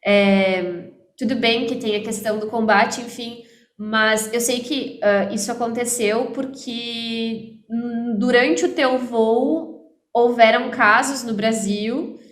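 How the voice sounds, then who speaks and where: A woman's voice: a little high, fairly even intonation, slow pace, echoey room. A woman, hosting a casual chat and following up with questions and summaries. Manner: engaged interviewer, adds follow-up questions and summaries. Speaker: host, teacher.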